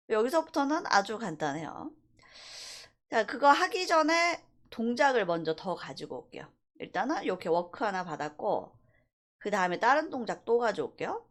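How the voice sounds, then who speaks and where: Lively woman teaching software. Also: mid-range voice. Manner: casual, explanatory, mixes polite and plain speech. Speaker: animation instructor. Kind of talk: software tutorial lecture.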